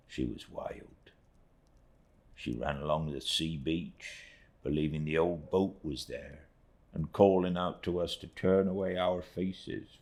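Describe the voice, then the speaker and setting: A man's voice: rumbling low, creaky, full character voice. A man voicing a humble, devout old seafaring uncle in an audiobook, with a rustic East Anglian dialect, earnest and plain-spoken. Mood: grave, haunted. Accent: rustic East Anglian English dialect. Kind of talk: audiobook character reading.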